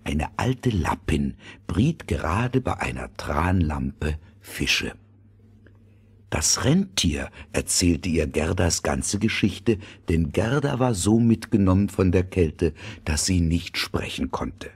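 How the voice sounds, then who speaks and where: A man narrates a fairy tale with a very husky, breathy voice. His delivery is slow and dramatic, with wide swings of pitch. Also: lively intonation.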